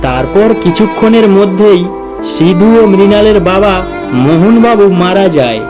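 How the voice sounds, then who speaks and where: A man's voice: high-pitched, lively intonation, normal speed, over music. A man narrating a scripted cartoon moral story as storyteller.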